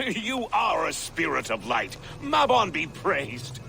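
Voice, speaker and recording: Slow, swooping mystical herbalist. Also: male voice, fairly high pitch, huge pitch swings, creaky, pushed and bright, a little hiss or hum, heavily acted. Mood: delighted, friendly. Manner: mystical, archaic, theatrical phrasing. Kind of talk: video game cutscene acting.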